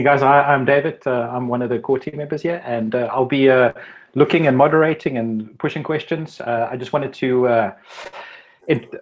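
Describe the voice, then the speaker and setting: Easygoing male moderator of an online chat, mid-pitched, loose and unscripted. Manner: relaxed, stumbling over words. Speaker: host and moderator. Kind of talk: casual live stream chat.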